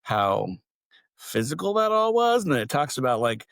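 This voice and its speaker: A man's voice: fairly high pitch, sing-song, normal speed, vocal fry, a bit bright, clear, resonant tone, no room sound, clean studio sound. A man co-hosting a podcast in loose, slangy conversation, mimicking the reactions he talks about. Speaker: podcast co-host. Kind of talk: casual chat discussing books and audiobooks.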